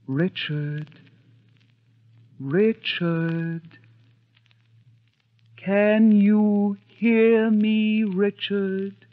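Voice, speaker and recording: A muffled, noisy vintage radio recording holds a genteel widow at a séance. She speaks slowly with wide, dramatic swings of pitch, solemn and melodramatic in the old radio manner. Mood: eerie, entranced. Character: genteel, secretly guilty widow holding a séance. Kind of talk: old-time radio mystery acting.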